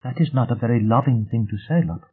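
A man voicing a soft-spoken, formal young woman who speaks precisely and with quiet defiance. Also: mid-range voice, quick pace, husky, pitched above the performer's own voice, close to an everyday voice. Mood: earnest, reproachful. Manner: formal, precise, uncontracted phrasing. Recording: muffled phone-like narrow sound.

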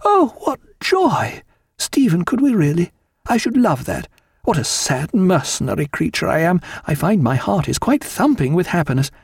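A man voicing an animated, high-pitched refined lady. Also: moderate pace, no echo, studio quality. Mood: overjoyed. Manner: exclamatory, refined period speech. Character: vivacious, elegant upper-class woman, Stephen's excitable wife.

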